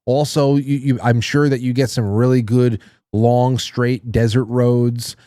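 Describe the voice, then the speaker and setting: A male radio host chatting loosely on a live call-in show. His voice sits at an ordinary middle pitch and sounds dry and close to the mic. He jokes around and swears casually as he talks. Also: level tone, unhurried, warm tone, dry recording. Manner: swears freely, joking banter.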